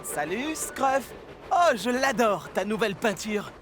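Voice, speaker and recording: A young male cartoon locomotive, friendly and youthful. The voice is fairly high with lively rises and falls, over soft music. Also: unhurried. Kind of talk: French cartoon dub.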